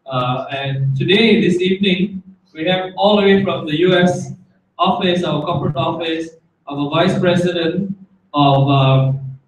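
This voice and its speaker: A man's voice: fairly high pitch, measured pace. Male event host, welcome speech, distant pickup in a very reverberant room with faint noise. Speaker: event host introducing a speaker. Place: meeting room, before an audience.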